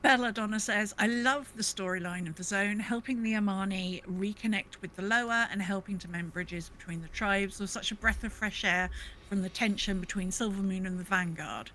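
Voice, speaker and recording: A woman with an average-pitched voice co-hosts a casual podcast. She is enthusiastic and gushing, whether reading out listener comments or giving her own take. A faint layer of noise sits behind her. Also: normal speed, sharp tone, low-quality recording. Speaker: podcast co-host.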